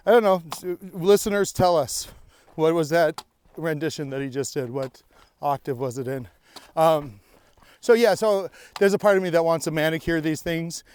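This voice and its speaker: A man's voice: fairly high pitch, clear, resonant tone. A man co-hosting a podcast, chatting casually and rambling a little as he walks an outdoor mountain trail. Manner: chatty, rambling, laughs often. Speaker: podcast co-host. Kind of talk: casual chat on a hike.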